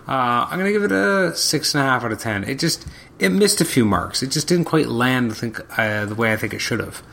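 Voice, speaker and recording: A man's voice: medium pitch, fairly bright tone. A man hosting his own podcast, talking casually and hesitantly while fighting a cold and losing his voice. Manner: casual, hesitant with many ums. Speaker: podcast host. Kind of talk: solo comic review podcast.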